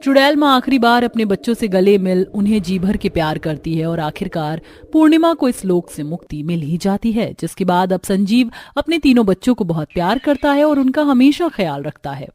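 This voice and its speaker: A woman's voice: medium pitch, big pitch swings, a little fast, hardly any pauses, darkish tone, a little hiss or hum. A woman narrating a scripted cartoon story as its storyteller.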